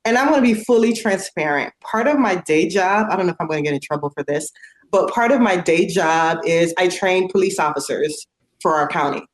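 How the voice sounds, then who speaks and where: A woman's voice: fairly low pitch, brisk. Outspoken woman talking in an echoey room. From United States. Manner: earnest and outspoken, with frequent fillers. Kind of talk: casual conversation.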